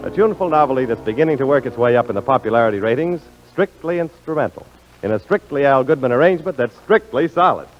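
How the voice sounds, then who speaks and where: A man's voice: mid-range voice, lively intonation, quick pace, loud music behind the voice. A man working as a radio announcer in a polished, showmanlike 1940s style, reading scripted ads and introductions. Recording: dull, lo-fi 1940s radio broadcast.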